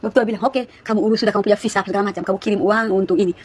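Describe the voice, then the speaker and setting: A woman of about thirty from East Nusa Tenggara, speaking with its regional Malay accent, chatting to viewers on a phone livestream and telling her story in rapid, chatty bursts full of asides. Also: medium pitch, steady tone, slightly rough. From East Nusa Tenggara, Indonesia. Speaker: live streamer. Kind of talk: casual live-stream testimony to viewers.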